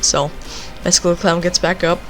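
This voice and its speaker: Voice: mid-range voice, little pitch movement, fast talker, fairly bright tone, poor audio. A young person telling a casual, rambling story, leaning on filler phrases. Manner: rambling, repeats filler phrases like "basically" and "you know".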